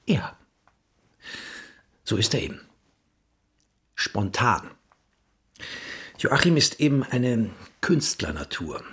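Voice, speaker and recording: An actor playing an easygoing, evasive writer in an audio drama, with a casual, naturalistic delivery. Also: male voice, medium pitch, very animated, slow, pauses often, fairly bright tone, slightly rough, heavily acted. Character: easygoing, evasive male writer, live-in boyfriend.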